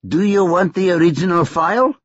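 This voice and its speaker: A man's voice: sits high, a little fast, no echo, muffled recording, voice pushed up. A man voicing a cocky, crude young male hustler who taunts and swears. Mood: taunting.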